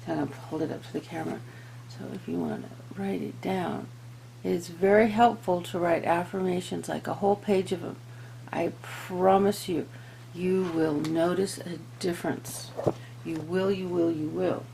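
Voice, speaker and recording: Low-pitched, gravelly woman reading tarot. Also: animated, moderate pace, mellow, a little hiss or hum, poor audio. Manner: earnest, talks to viewers and to spirit. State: voice giving out, throat-clearing. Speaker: tarot reader and host. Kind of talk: tarot reading, part read aloud, part informal asides to viewers.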